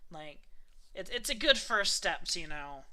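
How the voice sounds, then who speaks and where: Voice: mid-range voice, big pitch swings, normal speed, sharp tone. A game master casually narrating a tabletop role-playing game and explaining things informally.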